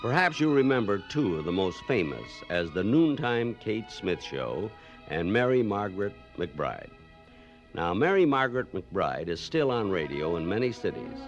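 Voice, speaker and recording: A man's voice: lowish voice, sing-song, moderate pace, soft background music. A man hosting with scripted, nostalgic documentary narration, on a dull vintage recording. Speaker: host and narrator.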